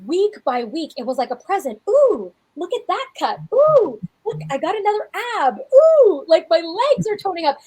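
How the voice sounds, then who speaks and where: A woman in her forties, a fitness coach co-hosting a podcast, talking in a chatty, animated way with frequent restarts. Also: very high-pitched, moderate pace, few pauses. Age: 40s. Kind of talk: casual podcast chat.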